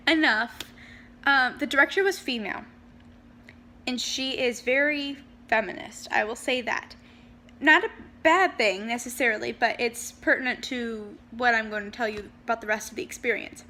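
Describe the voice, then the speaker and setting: A woman's voice: high voice, sharp tone, slight background noise. A young woman vlogger casually telling personal stories, chatty, with frequent ums and shifts in pace. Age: young adult. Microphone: handheld camera.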